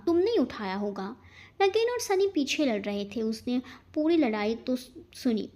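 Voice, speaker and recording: A woman's voice: high voice, a little mellow. A woman reading scripted fiction as a narrator, in a dramatic storytelling style.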